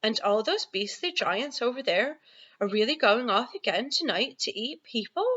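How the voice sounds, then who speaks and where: Woman acting an animated, inquisitive little storybook girl. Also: a little high, moderate pace, slightly rough, muffled recording. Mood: curious. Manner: questioning, wide-eyed and outspoken. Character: small, inquisitive, indignant young girl in a storybook.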